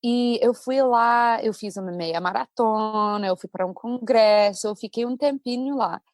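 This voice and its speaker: American woman w high voice, chatty guest, dry close mic, tells stories w laughs. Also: measured pace, no echo. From United States. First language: English. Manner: chatty, animated storytelling with laughs. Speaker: guest, English teacher. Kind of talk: casual podcast conversation.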